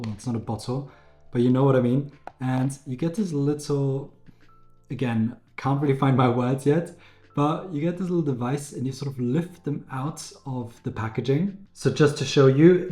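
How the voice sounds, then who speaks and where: Male vlogger, hoarse raspy voice, chatty and rambling, roomy echo, faint music behind. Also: mid-range voice, a little mellow. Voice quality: raspy, slightly lost voice. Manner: chatty and rambling, self-correcting. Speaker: beauty vlogger. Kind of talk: casual chat to camera.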